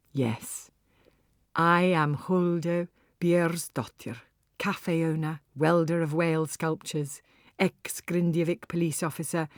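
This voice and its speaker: A woman's voice: low voice, dry recording, clean studio sound. A woman playing a wry, exuberant Icelandic cafe owner and volcano guide, narrating in the first person with theatrical, confiding asides. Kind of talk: dramatised first-person audiobook monologue.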